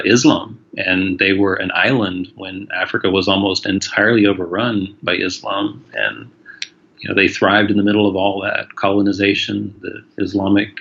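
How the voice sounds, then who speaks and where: A man's voice: on the low side, creaky, sharp tone. A man as an interview guest, an author, speaking earnestly and with enthusiasm. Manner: earnest, enthusiastic about the story. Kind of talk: casual interview talk. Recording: dull, muffled audio.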